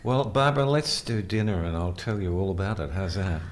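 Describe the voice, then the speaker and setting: An actor with an Australian accent playing a boastful, flirtatious real estate developer in a mockumentary interview. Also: male voice, low-pitched, expressive, a little fast.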